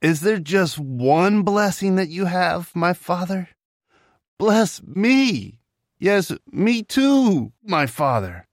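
A man's voice: lowish voice, animated, slow, fairly bright tone, dry recording, studio quality. A man voicing an anguished grown son in a dramatized scripture reading, crying out in pleading, rising tones.

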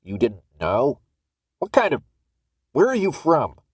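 A man's voice: mid-range voice, animated, moderate pace, dry recording, close to an everyday voice. A man voicing a stern, angry armed guard in an audiobook, barking curt, menacing orders. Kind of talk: audiobook character dialogue.

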